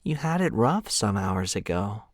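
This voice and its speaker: A man voicing a gentle young game character who calms an injured stranger with soft, reassuring words. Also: on the low side, unhurried, no echo, studio quality, full character voice. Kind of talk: voiced visual novel lines.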